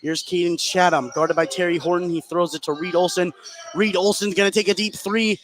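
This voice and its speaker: A man's voice: high voice, steady tone, fairly quick. A man doing live play-by-play basketball commentary as a sports announcer. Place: courtside in an indoor high school gym. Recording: noisy live broadcast from a gym. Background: loud gym ambience.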